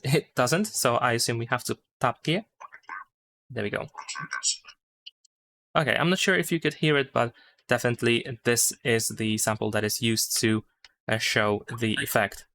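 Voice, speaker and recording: A man's voice: medium pitch, moderate pace, pauses often, bright, forceful tone. A man giving a casual, unscripted step-by-step phone tutorial, thinking aloud with some hesitation. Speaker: tutorial host. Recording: clear background noise.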